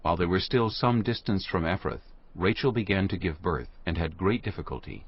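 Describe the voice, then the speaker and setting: A man's voice: low-pitched, animated, fairly quick, breathy edge. A man narrating scripture from a script in a solemn storytelling manner. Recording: muffled audio under music. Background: music.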